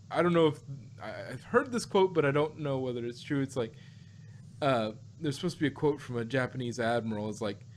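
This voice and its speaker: A man's voice: medium pitch, slight background noise. A man podcast host in casual, joking banter, rambling through stories as he goes. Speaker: podcast host reviewing audio drama.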